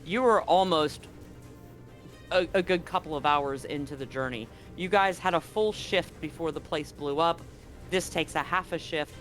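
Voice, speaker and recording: Halting male game master over music. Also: on the high side, a bit bright. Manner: halting, restarts mid-sentence while describing scenes. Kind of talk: narrating a tabletop game.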